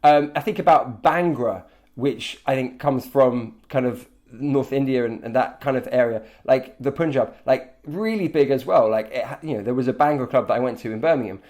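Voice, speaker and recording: A man's voice: medium pitch, moderate pace, darkish tone. A man who hosts a casual conversation and reads out listener questions. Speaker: host.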